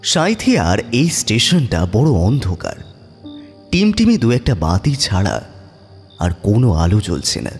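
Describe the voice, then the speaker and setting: A man's voice: on the low side, huge pitch swings, measured pace, faint music behind. A man narrating a scripted horror story as a dramatic storyteller.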